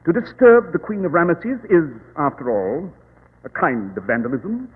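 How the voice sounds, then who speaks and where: An actor playing a brilliant, self-assured English gentleman detective in a 1940s radio drama, explaining things precisely. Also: a little high, wildly swooping pitch, normal speed, husky, full character voice. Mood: solemn, resigned. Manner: precise, theatrical, didactic. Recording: 1940s radio recording, narrow, noisy, reverberant.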